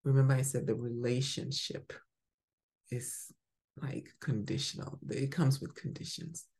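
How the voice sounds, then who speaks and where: A woman from Ghana giving a spoken devotional teaching as podcast host, emphatic and swinging from bright exclamations to soft murmurs. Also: a bass voice, steady tone, a little slow, creaky.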